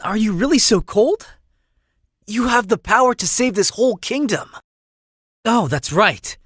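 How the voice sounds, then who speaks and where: A man's voice: high-pitched, animated, measured pace, fairly bright tone, slightly rough, no echo, studio quality, exaggerated voice. An actor playing a chatty, idealistic young nobleman in a video game, breezy and theatrical in manner. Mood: friendly, persuasive.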